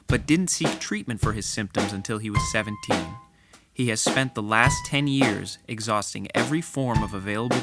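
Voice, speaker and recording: A man reading scripted, documentary-style narration as the narrator of an audio story. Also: fairly low pitch. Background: loud music with drums.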